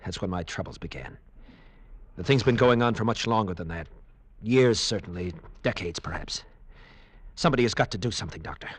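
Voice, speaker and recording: An actor playing a haunted, earnest American bomber pilot lieutenant in a vintage radio drama. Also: male voice, brisk, long pauses, breathy edge, no room sound. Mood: desperate, pleading. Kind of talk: 1940s-50s radio drama acting, first-person narration. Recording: noisy old radio recording, vehicle rumble.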